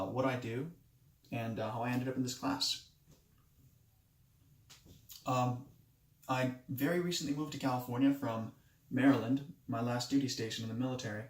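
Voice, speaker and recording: A man in his late twenties, raised in Moldova with Romanian as his first language, introduces himself for a class in a halting way, often restarting his sentences. His pitch sits in an ordinary middle range. The recording has a noticeable room echo. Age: 20s. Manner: hesitant, halting, many restarts. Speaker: student. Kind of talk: self-introduction speech.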